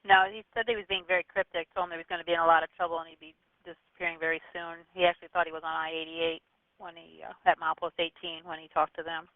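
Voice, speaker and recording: Fast, high-pitched male dispatcher on police radio. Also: little pitch movement, no echo. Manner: brisk procedural phrasing. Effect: two-way radio. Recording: tinny, narrow police radio band.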